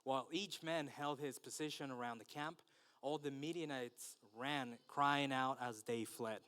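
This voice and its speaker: A man's voice: mid-range voice, unhurried, fairly bright tone, clean tone. Nervous Spanish-accented man preaching. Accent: Mexican Spanish. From Mexico. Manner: nervous, hesitant with many fillers and restarts. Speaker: guest preacher. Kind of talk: sermon with scripture reading.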